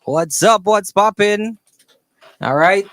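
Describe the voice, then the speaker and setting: Energetic male radio host, slangy. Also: high-pitched, slow. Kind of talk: casual interview hosting.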